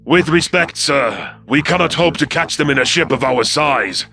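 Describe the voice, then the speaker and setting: A man's voice: fairly high pitch, animated, moderate pace, creaky, pushed and bright, breathy edge, pitched above the performer's own voice, exaggerated voice. A man voicing a cautious alien warship subordinate who speaks formally and hesitantly to his superior. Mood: respectful, doubtful. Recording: music behind the voice.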